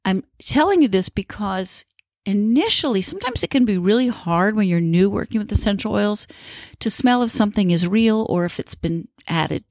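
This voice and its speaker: A woman's voice: mid-range voice, no echo, studio quality. Reassuring woman podcast host. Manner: reassuring, encouraging advice to beginners. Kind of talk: solo educational podcast talk.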